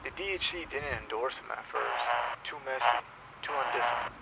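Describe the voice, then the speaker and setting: A man playing an ominous, sardonic pirate radio host in a zombie apocalypse drama, speaking in deliberate dramatic pauses. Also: medium pitch, vocal fry, close to an everyday voice. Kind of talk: dramatic scripted radio monologue. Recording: muffled noisy lo-fi radio broadcast.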